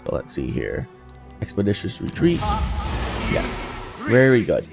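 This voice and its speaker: A low-pitched man with a gravelly edge talks through a video game in a casual way. His delivery moves up and down freely as he thinks aloud, and music plays faintly behind him. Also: wildly swooping pitch, measured pace. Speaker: gaming video host. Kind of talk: casual playthrough commentary.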